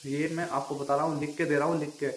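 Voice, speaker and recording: A man's voice: on the high side, flat delivery, quick pace, a little hiss or hum. A young man giving an informal, emphatic exam analysis to viewers, often repeating himself and adding check-in tags. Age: young adult. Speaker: exam-prep video creator and exam candidate. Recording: echoey untreated room.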